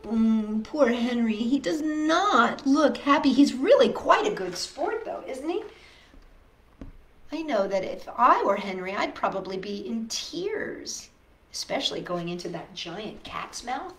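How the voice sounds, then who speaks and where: Lady doing kids storytime, highish voice, coaxing and expressive, echoey room w a bit of noise. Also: measured pace. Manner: sympathetic, coaxing, talks directly to children. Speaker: storytime host reading a picture book. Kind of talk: expressive children's storytime read-aloud with asides to young viewers.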